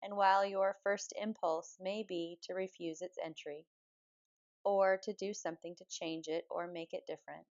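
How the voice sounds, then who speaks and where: A woman with a fairly low voice guides a deep relaxation practice. She speaks calmly and soothingly, giving measured instructions as a yoga nidra teacher. The recording is a little dull and muffled. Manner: calm, measured, soothing delivery. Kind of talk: guided meditation, slow spoken relaxation instruction.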